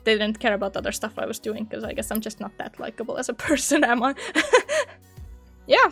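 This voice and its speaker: A young woman who makes gaming videos, chatting casually and giggling often, her voice a little hoarse from long talking. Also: a little high, a bit bright. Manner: chatty, giggly, laughs often between phrases. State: slightly hoarse, losing her voice. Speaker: gaming YouTuber. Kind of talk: casual reflective vlog chat.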